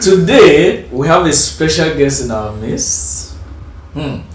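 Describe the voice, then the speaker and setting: A man's voice: a little high, sing-song, slow pace, recorded from a distance, background noise. Upbeat male host. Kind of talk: casual interview chat. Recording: echoey room, voice off-mic.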